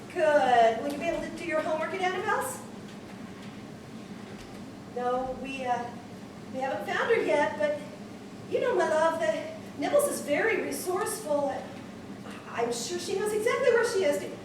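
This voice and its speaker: An actress playing a high-strung, well-off mother who is prickly and defensive and snaps into screaming fury. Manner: sharp and clipped, erupting into screaming. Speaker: mother on the phone to her child. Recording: roomy, distant mic with background noise.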